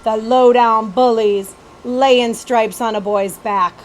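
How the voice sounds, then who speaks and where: Woman putting on a bold, high-voiced country girl. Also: steady tone, slow, a little hiss or hum. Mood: indignant. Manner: folksy, plucky, affectionate. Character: bold, tender-hearted country girl with a dancing bear.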